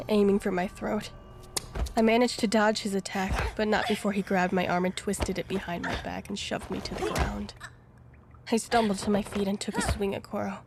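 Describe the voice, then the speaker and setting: A woman playing an earnest, anxious young heroine, narrating her own story in a measured way for an audio drama. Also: medium pitch, noisy background, close to an everyday voice. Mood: tense. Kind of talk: scripted first-person audio drama narration.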